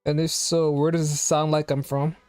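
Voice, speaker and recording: A streamer talking in a rambling, chatty way at mid pitch, throwing question after question at viewers. The sound is close and dry, with faint game music underneath. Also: flat delivery, normal speed, darkish tone, clear, resonant tone, no echo. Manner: rambling, talks to chat with many questions. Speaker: live streamer. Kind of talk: casual live-stream chatter. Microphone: home streaming microphone.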